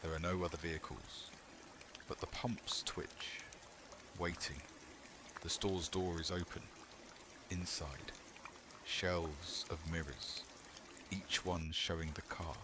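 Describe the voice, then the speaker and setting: Male horror narrator, low-pitched and unhurried, short measured phrases, clear noise bed behind the voice. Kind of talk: scripted second-person horror narration.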